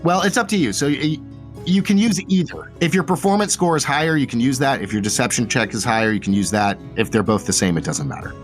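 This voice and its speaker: A man running a tabletop game as game master, relaxed and full of fillers. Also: mid-range voice, quick pace, faint music behind.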